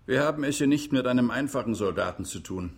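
An actor playing a stern, accusing prosecutor in a radio courtroom drama, speaking formally with cutting emphasis. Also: male voice, mid-range voice, lively intonation, fast talker, gravelly. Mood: stern, insistent.

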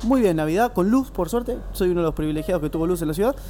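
A man with a Rioplatense accent hosting a radio show, lively and jokey as he bantera and introduces guests. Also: high voice, racing, soft background music. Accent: Rioplatense Spanish. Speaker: radio host.

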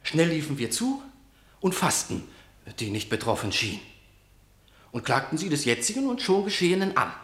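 A man's voice: fairly high pitch, sing-song, moderate pace, pauses often, fairly bright tone, roomy sound. A male actor playing an agitated guard who reports to his king in declamatory verse, in a radio play. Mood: solemn, troubled.

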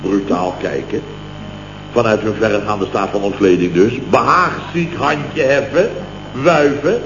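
An actor playing a blustering, self-important contractor boss in radio comedy, telling tall tales in clipped, exclamatory, repetitive bursts. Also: male voice, on the low side, unhurried. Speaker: comic radio serial actor. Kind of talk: late-1960s Dutch radio comedy acting. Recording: dull, roomy old radio recording with hiss.

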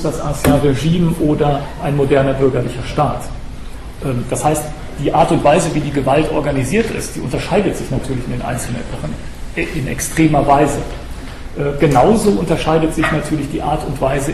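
A slightly hoarse man gives an academic lecture in a somewhat high voice with a gravelly edge. He speaks straight to his listeners and often stops to restart his sentences. The recording sounds echoey, with steady noise behind him. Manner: talks to the audience directly, with many hesitation sounds and restarts. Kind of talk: academic lecture on political theory. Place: lecture room.

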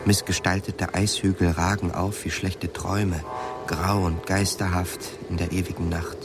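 A man narrating a literary radio drama in a measured, grave, deliberate manner. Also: low-pitched, level tone, over music.

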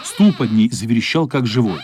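A man's voice: mid-range voice, animated, fairly quick, darkish tone, slight background noise, natural voice. A man narrating a children's radio play as a scripted storyteller.

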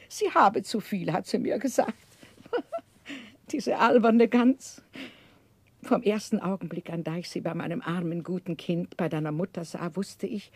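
An actress playing a blunt, sharp-tongued but tender-hearted older aunt in a 1960s German radio play, probing and exclaiming. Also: female voice, medium pitch, slightly rough, dry recording.

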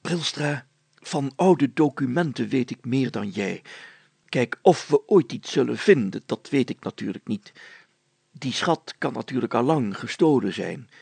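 A self-important old-fashioned village mayor from a storybook, acted out by a man, with a husky, creaky voice. He hems and deliberates, and his pitch swings widely as he makes his pronouncements. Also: lively intonation, darkish tone, no room sound, full character voice. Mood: assured, self-important. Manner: halting, hemming, then solemnly declaiming archaic text.

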